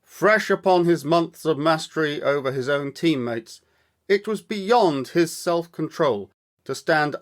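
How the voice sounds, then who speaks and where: A man with a fairly high voice narrates a story at a very unhurried pace. His delivery is deliberate and theatrical, shaped like an actor reading for a children's storytime. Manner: measured, deliberate, dramatic phrasing.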